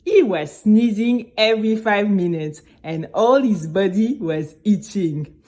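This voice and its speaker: A woman as a chatty young girl, unhurried storyteller. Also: medium pitch, close to an everyday voice. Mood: confiding. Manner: conversational, expressive storytelling with exclamations. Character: Bénie, nervous, chatty young girl singing contestant. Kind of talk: first-person scripted audio drama narration.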